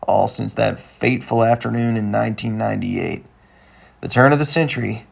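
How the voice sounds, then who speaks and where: A man voicing a blunt, sentimental middle-aged father in an animated comedy-drama, talking in a folksy, rambling way. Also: on the low side, moderate pace, gravelly. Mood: nostalgic.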